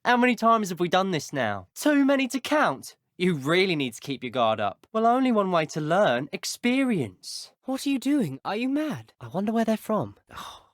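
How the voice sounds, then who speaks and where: A man's voice: high-pitched, big pitch swings, normal speed, few pauses, no echo, studio quality. An actor with an English accent playing a well-mannered, slightly haughty teenage male wizard student in a video game, polite and wry in manner. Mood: conversational, wry. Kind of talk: video game character voice clips.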